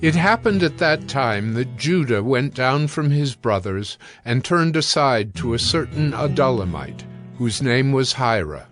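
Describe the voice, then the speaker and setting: A man narrates scripture in a scripted audio drama style. His voice sits at a medium male pitch, with soft music underneath. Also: animated, a little slow.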